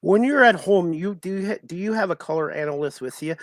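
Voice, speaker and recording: Higher-voiced man hosting casual sports chat. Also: expressive, brisk, no room sound. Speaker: sports talk show host.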